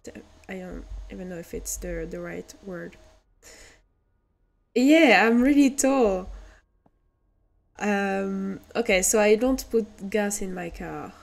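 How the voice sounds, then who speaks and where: A woman's voice: medium pitch, slow, gravelly, long pauses. A woman with a French accent, a streamer hesitantly thinking aloud while playing a game. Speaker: VTuber streamer. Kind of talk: casual game commentary.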